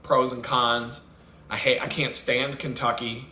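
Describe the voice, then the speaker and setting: A man vents in a blunt, profane monologue, swearing freely and chuckling at his own jokes. His voice is bright and sits at a middle pitch. The room echoes around him, with a faint hum of background noise. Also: flat delivery, measured pace. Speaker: vlogger host. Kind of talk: profane rambling rant.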